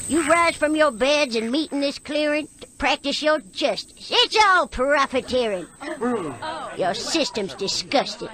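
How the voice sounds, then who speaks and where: A high, piercing female cricket played by a woman rails against the court in a rhymed audio story. She is defiant, scornful and hot-tempered, declaiming her grievances with indignation. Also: sharp tone.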